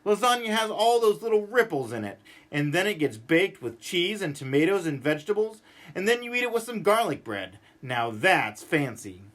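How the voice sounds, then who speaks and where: A man voicing a cranky, stubborn, exasperated man who grumbles and rants in an animated storybook read-aloud. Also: high-pitched, normal speed. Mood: indignant. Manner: exasperated, ranting, emphatic.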